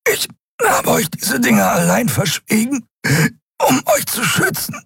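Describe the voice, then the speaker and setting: A man voicing a captive man who chokes and pleads in a thin, breathless, halting voice for an audiobook story. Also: high voice, big pitch swings, a bit bright, breathy edge, dry recording, clean studio sound, voice pushed up, exaggerated voice. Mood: desperate pleading. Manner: halting, broken phrases. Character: choking captive man, gasping and pleading desperately.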